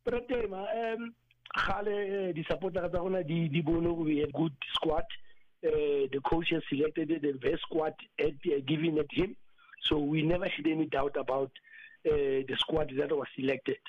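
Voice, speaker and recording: A hoarse South African man with a husky voice speaks on a call, his tone slightly raised. He pushes his points hard but stumbles through fillers. The line sounds dull and dry. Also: fairly high pitch, fairly even intonation, no echo. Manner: emphatic, halting with many fillers. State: hoarse from celebrating. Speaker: football coach, guest. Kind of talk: phone-in radio interview.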